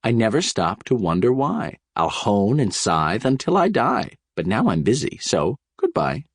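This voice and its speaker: Low mumbling contented peasant acted by a man. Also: big pitch swings, unhurried, no echo, heavily acted. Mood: blithe. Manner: mumbling, rhyming. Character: simple, contented male peasant singing at work.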